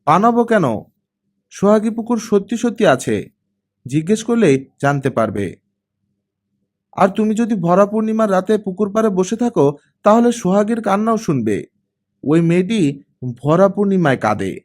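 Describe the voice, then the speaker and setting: A man's voice: high voice, lively intonation, moderate pace, pauses often, a little mellow, pitched above the performer's own voice. A man voicing a friendly, easygoing male teacher who likes telling stories in an audiobook. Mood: earnest teasing.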